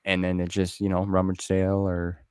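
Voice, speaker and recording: Guy late 30s doing casual interview chat, kinda low voice, dry sound, chummy and rambly. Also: monotone, brisk, a little mellow, smooth, no room sound. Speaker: interviewer and show host.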